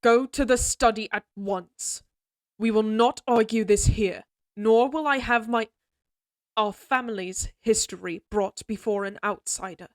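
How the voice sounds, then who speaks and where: A woman's voice: a little high, a little slow, no room sound, lightly acted. Woman acting a stern, imperious noble mother. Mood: angry, commanding. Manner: formal, clipped, commanding. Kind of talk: scripted fantasy audio roleplay acting.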